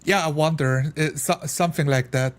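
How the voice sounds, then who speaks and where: A man's voice: fairly high pitch, steady tone, moderate pace. A man talking casually as a player in a tabletop game, among friends.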